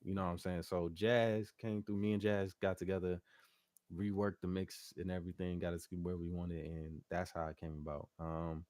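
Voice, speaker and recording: A man's voice: low-pitched, flat delivery, normal speed, darkish tone, no room sound, studio quality. A man speaking casually and informally as a musician guest in an interview, with slangy, loosely phrased talk. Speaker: interview guest, R&B singer and producer.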